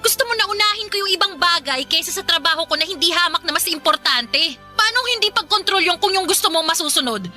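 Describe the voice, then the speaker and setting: A woman's voice: extremely high pitch, lively intonation, fast talker, hardly any pauses, bright, forceful tone, heavily acted. A woman playing a career-driven, defensive girlfriend in a radio drama, insistent and dismissive. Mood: exasperated. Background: faint music.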